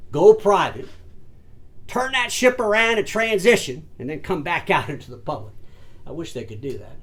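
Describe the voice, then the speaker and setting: A man delivers solo commentary in a fairly high voice, pressing his points hard and speaking straight to his listeners as folks and ladies and gentlemen. He often stumbles into repeated words and restarts before pushing on. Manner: emphatic, addresses audience as folks and ladies and gentlemen. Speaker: host of an industry commentary show.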